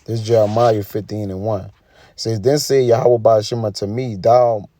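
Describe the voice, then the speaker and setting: A man's voice: on the low side, fairly even intonation, moderate pace, mellow, a little hiss or hum. A man giving an informal sermon, reading scripture and commenting in an emphatic, colloquial way with frequent tag questions. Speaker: preacher.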